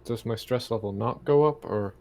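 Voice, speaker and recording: A man's voice: medium pitch, moderate pace, darkish tone. A man playing a tabletop game, chatting casually and joking with the group.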